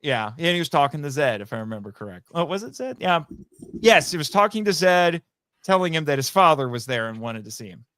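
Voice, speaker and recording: A man's voice: fairly high pitch, very animated, a little fast, a bit bright, no echo. A man talking casually and enthusiastically as a podcast guest, chatty and often correcting himself as he explains. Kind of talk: casual enthusiastic interview talk.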